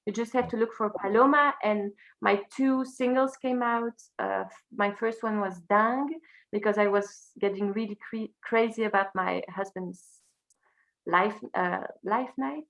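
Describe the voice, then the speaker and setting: A woman from Brussels with a Belgian French accent, a native French speaker talking casually as an interview guest in halting English, searching for words. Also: mid-range voice, steady tone, a bit bright, low-quality recording. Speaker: interview guest, singer and teacher.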